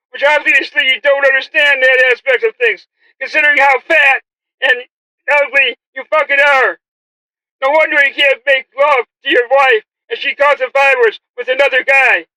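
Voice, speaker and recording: A man's voice: very high-pitched, sharp tone, no room sound, rough recording. A man delivering a confrontational, taunting monologue full of swearing and rhetorical questions. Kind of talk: profane taunting monologue addressed to an absent opponent.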